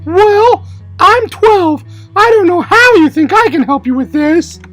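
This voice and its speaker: A woman's voice: very high-pitched, unhurried, soft background music. A woman voicing an indignant, boastful twelve-year-old schoolboy in a comedy puppet show. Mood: exasperated. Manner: excitable, self-justifying.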